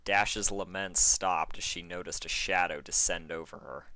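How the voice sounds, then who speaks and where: A man with a low, forceful voice reads a story aloud with expressive narration. The sound is close and dry, with very little room. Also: normal speed, no room sound. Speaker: fan fiction reader.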